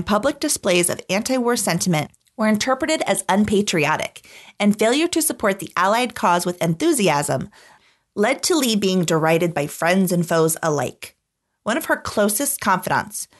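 A woman reading scripted documentary podcast narration in an articulate, measured storytelling manner. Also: medium pitch.

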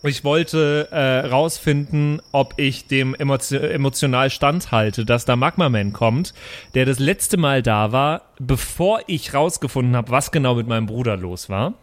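A man's voice: mid-range voice, a little slow. A man chatting casually as a player in an improvised tabletop role-play show.

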